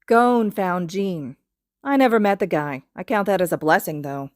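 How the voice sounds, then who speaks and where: A woman voicing a gruff, blunt, sardonic young man in an anime story, guarded and challenging. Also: lowish voice, moderate pace, no room sound, studio quality, lightly acted. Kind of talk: anime fan fiction character reading.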